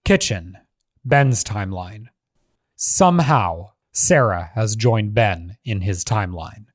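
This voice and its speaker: A man's voice: fairly low pitch, expressive, slow pace, dry recording, studio quality. A man giving scripted narration, reading a screenplay's stage directions as the narrator of a table read.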